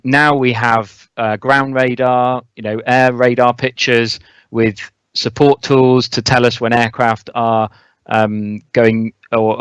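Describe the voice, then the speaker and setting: A man with a smooth, clean voice and very even intonation, recorded close and dry. He talks as an expert guest, explaining in a measured, conversational way. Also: medium pitch, no echo. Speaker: air traffic controller, interview guest.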